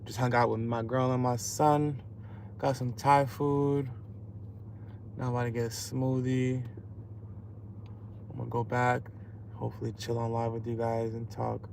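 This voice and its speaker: A man's voice: medium pitch, little pitch movement, unhurried, creaky, pauses often, a little mellow, a little hiss or hum. A man chatting casually on a livestream from his car, laid-back with mumbled asides and hyped bursts. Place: in a car at a drive-through.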